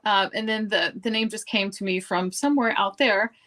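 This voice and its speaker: A middle-aged woman from Cuba, a guest chatting casually in an interview, self-deprecating and lively in her storytelling. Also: mid-range voice, normal speed.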